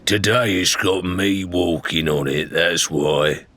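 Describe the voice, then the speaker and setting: Man doing a cocky, rough-spoken pirate grumbler. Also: deep voice, slow pace, fairly bright tone. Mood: stubborn, wary. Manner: colloquial, blunt, slangy.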